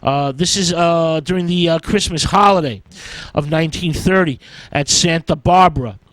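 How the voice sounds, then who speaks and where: A man giving an informal film history talk as a radio presenter, in a halting, hesitant way with an "uh" before nearly every phrase. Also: fairly high pitch, a bit bright, no room sound, rough recording.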